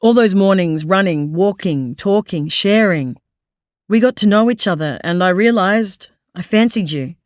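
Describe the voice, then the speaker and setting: A woman's voice: mid-range voice, animated, measured pace, a little mellow, no echo, studio quality, close to an everyday voice. A woman reading the lines of a blunt, earnest grown man who turns tender, in an audiobook. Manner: blunt, forthright, then tender.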